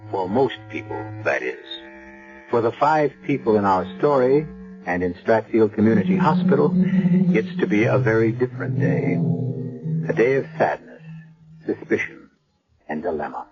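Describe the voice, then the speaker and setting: Deep-voiced American radio drama narrator, male. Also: big pitch swings, music in the background. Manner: measured, portentous. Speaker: host and narrator. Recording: dull vintage radio broadcast.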